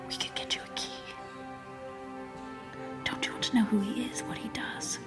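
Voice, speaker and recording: Audio drama: actress as furtive resistance plotter, insistent whisper, music loud underneath. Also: female voice, normal speed, lightly acted. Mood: urgent, secretive. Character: urgent, persuasive woman conspirator in secret resistance.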